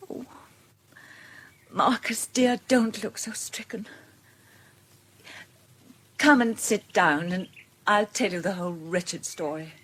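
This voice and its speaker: An actress playing a gentle, independent middle-aged British woman in a period radio drama, speaking earnestly and tenderly with careful articulation. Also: medium pitch, takes it slowly, long pauses. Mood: sorrowful, loving. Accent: British English.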